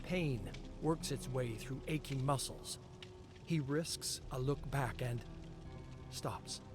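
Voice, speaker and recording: Streamer guy reading game lore real slow, music playing clearly behind him. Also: mid-range voice. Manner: slow, deliberate storytelling delivery. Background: clear background music.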